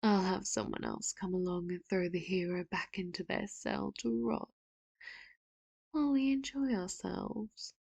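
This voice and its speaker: A woman voicing a manipulative, doting villain in an ASMR audio roleplay, speaking slowly and softly with sudden sharp threats, close to the mic. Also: medium pitch, fairly even intonation, gravelly, no room sound. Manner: slow, hushed, often whispered, turns sharp and loud for threats. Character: doting, manipulative villain, soft-spoken and menacing.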